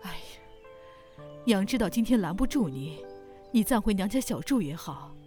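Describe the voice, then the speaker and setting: A woman's voice: mid-range voice, sing-song, measured pace, mellow, faint music behind. A woman playing a kindly, fretful older mother-in-law in a Chinese period audio drama. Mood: pleading, regretful.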